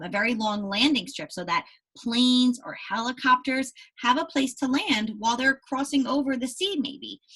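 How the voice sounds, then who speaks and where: A woman reads to young pupils as their teacher, her voice bright and a little raspy. Her pitch swings in a lively way as she explains the text and poses simple questions to keep them following. The delivery is instructive and pitched somewhat high. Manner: instructive, checks understanding with "right?" and questions. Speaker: elementary school teacher. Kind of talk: reading a children's book aloud and explaining it to pupils.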